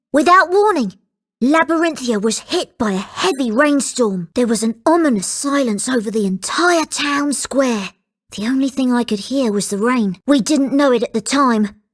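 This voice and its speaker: A woman voicing a polite, earnest and excitable young boy in a video game. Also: high-pitched. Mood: grave, narrating.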